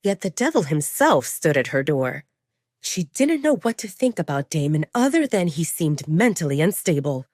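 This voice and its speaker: A woman's voice: sits low, big pitch swings, moderate pace, a little mellow, no echo. A woman reading scripted, dramatic third-person narration for a romance audio serial, recorded close in a dry space.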